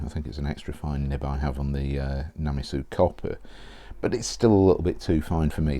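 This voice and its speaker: A man with a very low, mellow voice talks casually through a product unboxing. He rambles, corrects himself and keeps clearing his throat, sounding a little hoarse as he loses his voice. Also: dry recording. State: losing his voice. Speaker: fountain pen reviewer.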